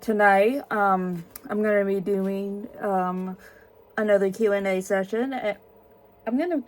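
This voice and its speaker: A woman's voice: medium pitch, steady tone, unhurried. A woman in her twenties, tired, answering viewer questions in a casual vlog with many ums and restarts. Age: 20s.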